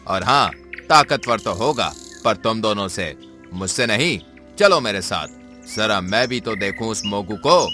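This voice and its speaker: An actor voicing a boastful, self-assured male lion in a cartoon, speaking in a low, scoffing voice. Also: expressive, a little slow, sharp tone. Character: boastful proud male lion, jungle king. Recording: cartoon with music behind.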